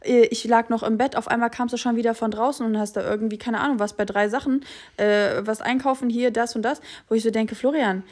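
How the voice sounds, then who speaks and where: A woman co-hosting a casual podcast, slightly high-pitched. She talks emphatically and chattily, rushing in quick bursts with plenty of fillers. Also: steady tone, darkish tone. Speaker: podcast co-host. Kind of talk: casual chat.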